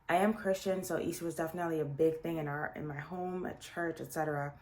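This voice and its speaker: A young adult woman from Guyana with a Guyanese accent, chatting casually as she introduces her series, often restarting sentences. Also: fairly low pitch. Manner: chatty, with frequent restarts and fillers. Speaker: series host.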